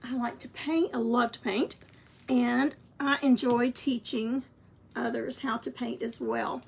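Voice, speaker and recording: A woman's voice: fairly high pitch, fairly even intonation, measured pace, gravelly, darkish tone, husky. A woman with a scratchy voice, teaching a live craft painting lesson in a chatty, encouraging way. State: scratchy voice, clearing throat. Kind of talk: live-streamed painting tutorial.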